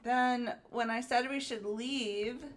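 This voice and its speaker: A woman's voice: on the high side, steady tone, slow. A woman voicing a perky, sporty teenage girl in a game playthrough, girlish and candid. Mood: uneasy. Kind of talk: voiced video game playthrough.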